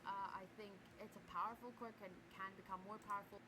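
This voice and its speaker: A woman's voice: mid-range voice, fairly even intonation, fast talker, noisy background. A woman with an Afrikaans accent, whose first language is Afrikaans, gives a voiceover ranking anime characters and often hesitates and restarts her sentences. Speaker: anime reviewer.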